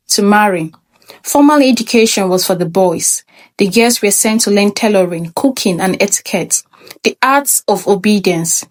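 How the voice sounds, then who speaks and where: A woman reading a scripted folktale as a storyteller, measured and expressive. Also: medium pitch.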